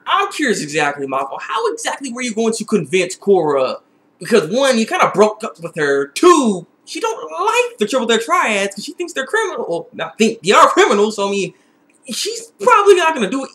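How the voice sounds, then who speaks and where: High-pitched, bright-voiced young man. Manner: excitable, animated, repeats phrases for emphasis. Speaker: TV episode reviewer. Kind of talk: casual video recap and review.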